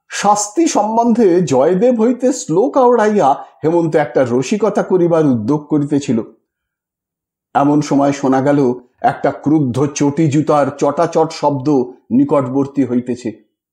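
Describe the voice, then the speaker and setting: High-pitched, slow male storyteller. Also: dark tone. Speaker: audiobook narrator. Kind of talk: scripted literary story narration.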